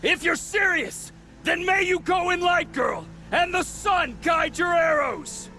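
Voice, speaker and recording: A man voicing a pompous, blustering border sentry in a fantasy video game, loud and declamatory. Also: very high voice, unhurried, exaggerated voice. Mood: amused, commanding. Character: blustering sun-worshipping border gate sentry in a fantasy world.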